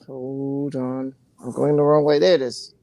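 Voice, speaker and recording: A man's voice: medium pitch, flat delivery, a little slow, mellow, dry recording. A man hosting a casual live stream, chatting and greeting viewers by name. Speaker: livestream host.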